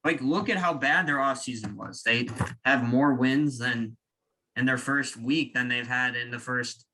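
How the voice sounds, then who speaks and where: Bright-voiced animated young man. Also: medium pitch, low-quality recording. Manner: animated, joking, quick opinions. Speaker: podcast co-host. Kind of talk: casual sports chat.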